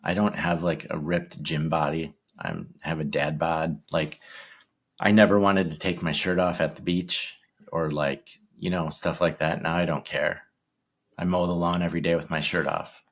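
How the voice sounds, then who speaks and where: A man in his forties, a guest in a casual interview, talking in a shy, halting way with many ums and restarts. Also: a bass voice, steady tone. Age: 40s.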